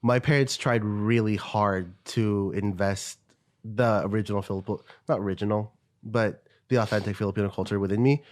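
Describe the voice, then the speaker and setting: A man's voice: lowish voice, steady tone, a little fast. A Filipino American man, a podcast co-host whose first language was Tagalog, telling stories in a loose, laid-back way. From United States. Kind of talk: casual chat.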